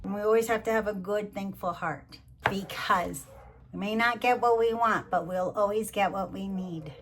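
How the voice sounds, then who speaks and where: A middle-aged or older woman doing a storybook read-along, chatting to child listeners and gently asking them questions. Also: medium pitch, moderate pace, background noise. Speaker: grandmother reading to children.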